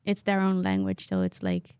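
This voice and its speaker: A woman's voice: extremely low pitch, very animated, normal speed, dark tone, clear, resonant tone, no echo, studio quality. A young woman co-hosting a podcast, encouraging and keeping the talk going with short agreements. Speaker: podcast co-host.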